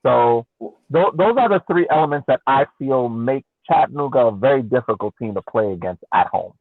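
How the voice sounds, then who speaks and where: A man co-hosting a casual soccer podcast, deliberately explaining his analysis point by point over a thin connection. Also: medium pitch, animated, dry recording, phone-quality sound. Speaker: podcast co-host and soccer fan. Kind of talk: casual post-game sports analysis.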